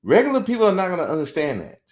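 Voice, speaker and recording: A man's voice: high voice, rapid-fire. A man in his forties hosting a podcast, giving blunt, opinionated commentary and mocking others now and then. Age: 40s. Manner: blunt, animated, mocking when quoting others. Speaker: podcast host.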